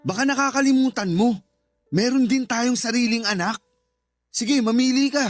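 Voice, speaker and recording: A man's voice: very high voice, moderate pace, dry recording, soft background music, pitched above the performer's own voice. A man playing a callous, irritable husband in a Tagalog radio drama, curt and dismissive. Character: callous, irritable husband who resents his stepchild.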